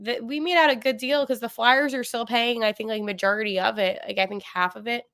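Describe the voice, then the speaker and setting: A young woman hosts a sports podcast from home with a bright, crisp voice. She talks in a loose, animated way, full of fillers and quick corrections. The sound is close and dry. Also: on the high side, no echo. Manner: chatty, animated, many fillers and self-corrections. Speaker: podcast host. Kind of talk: casual sports podcast monologue.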